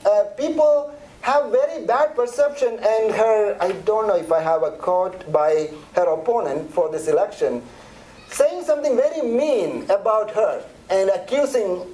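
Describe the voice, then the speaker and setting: A man's voice: high-pitched, mellow, low-quality recording. A middle-aged man from India with an Indian accent, presenting a church seminar in a chatty, conversational way with frequent restarts.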